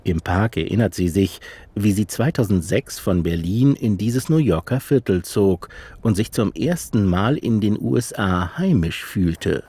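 A man narrating a radio feature, measured and literary, and interviewing an author. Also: lowish voice, lively intonation, mellow, slightly rough. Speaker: radio reporter. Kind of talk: scripted narration.

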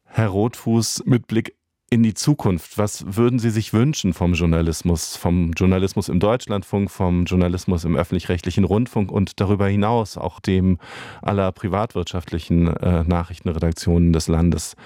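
A man with a very low, mellow voice moderates a radio discussion. He asks his guests measured, formal questions. The sound is dry and close-miked. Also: steady tone, no room sound.

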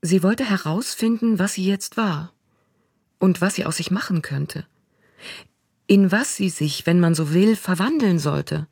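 A reflective voice reads literary prose slowly and quietly. The tone is slightly breathy, and the recording is dry and close to the mic. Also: mid-range voice, no echo. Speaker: voice-over reader. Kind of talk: literary reading of prose excerpts.

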